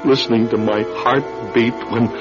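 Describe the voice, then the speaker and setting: An actor playing an anguished, desperate man in a radio drama, confessing to his wife in halting, repeated phrases. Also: mid-range voice, fairly even intonation, natural voice. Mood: distraught. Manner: halting, repeating words as if distraught. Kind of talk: dramatic radio play acting. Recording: dull lo-fi radio recording, music behind.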